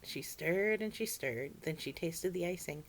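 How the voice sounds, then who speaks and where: A woman's voice: lowish voice, lively intonation, measured pace. A woman reading a picture book expressively for children, with friendly asides to the young listeners. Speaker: children's story-time reader.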